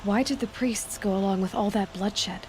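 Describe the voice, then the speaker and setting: Woman as a direct young huntress, medium-pitched. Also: moderate pace, darkish tone, a little hiss or hum, natural voice. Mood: questioning. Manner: plain-spoken, direct. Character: young huntress heroine, direct, sceptical, compassionate. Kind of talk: video game acting.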